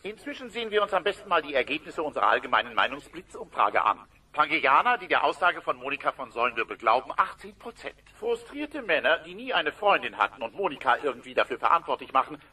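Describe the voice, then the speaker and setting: An actor playing a slick, overeager dinosaur news reporter, with emphatic announcer delivery in a parody audio play. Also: male voice, fairly high pitch, bright, forceful tone, heavily acted.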